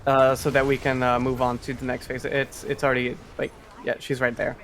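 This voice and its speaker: A man's voice: mid-range voice, completely flat delivery, a little fast, a bit bright, slight background noise. A man giving casual live commentary on a video game speedrun, explaining as he goes.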